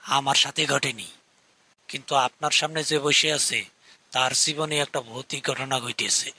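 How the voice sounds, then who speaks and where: Creaky, sharp rustic tea seller. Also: male voice, medium pitch, fairly even intonation, moderate pace, no room sound, heavily acted. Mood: folksy, knowing. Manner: folksy, deferential. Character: curious rustic male tea-stall keeper, deferential.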